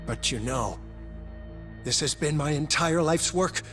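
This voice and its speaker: An actor playing a grave, zealous scientist in a video game cutscene, speaking in a measured, formal, insistent way. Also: male voice, on the high side, a bit bright, soft background music. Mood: insistent, cold. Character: coldly obsessed male scientist, grave and zealous. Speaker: medical researcher.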